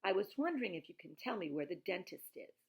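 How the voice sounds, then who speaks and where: A woman's voice: fairly low pitch, very animated, quick pace, gravelly. Lady reader doing a bold precocious little girl from a kids book, earnest n pert, talks super formal. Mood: pert, polite. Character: plucky, precocious young girl narrator, bold and formal in speech.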